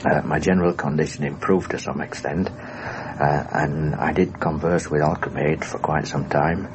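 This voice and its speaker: A man's voice: extremely low pitch, steady tone, normal speed. A man giving a hesitant, formally worded first-person account of being a prisoner of war, for a documentary. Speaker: former airman and prisoner of war recounting his experience. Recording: noisy 1960s radio documentary recording.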